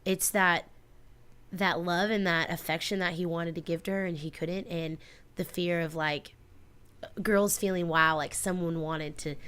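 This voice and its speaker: A woman's voice: fairly low pitch, fairly even intonation, a little slow, a bit bright, clean tone. A woman co-hosting a radio show in casual chat, telling stories in an earnest, rambling way. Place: community radio studio.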